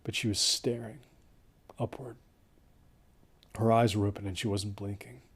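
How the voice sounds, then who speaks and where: An actor playing a dazed, delusional injured man recounting an ordeal in an audio horror drama, halting and detached, with hushed phrases. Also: lowish voice, steady tone, slightly rough. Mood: stunned, halting. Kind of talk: first-person audio horror drama monologue.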